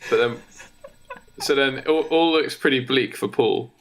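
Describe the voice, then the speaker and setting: Halting male comedy podcaster, mid-pitched. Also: slight background noise, poor audio. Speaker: podcast co-host. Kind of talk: casual comic improvised storytelling.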